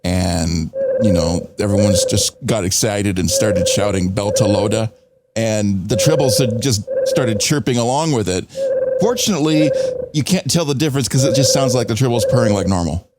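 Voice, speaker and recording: A man's voice: lowish voice, moderate pace, very noisy. A man chatting casually as a co-host on a fan podcast. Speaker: podcast co-host, show engineer. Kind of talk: casual chat.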